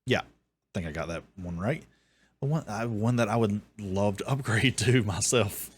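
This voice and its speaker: A man with a deep, bassy voice giving sarcastic, ranting commentary on another streamer's clip. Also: moderate pace, breathy edge. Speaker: commentary host. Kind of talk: reaction commentary.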